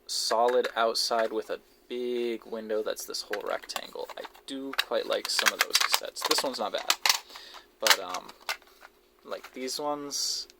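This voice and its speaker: A man giving casual, unscripted show-and-tell commentary in a low-key, halting way, as if musing to himself. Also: gravelly. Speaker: hobbyist video creator showing a cassette collection. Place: basement, indoors. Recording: noisy amateur audio with clicks and handling noise.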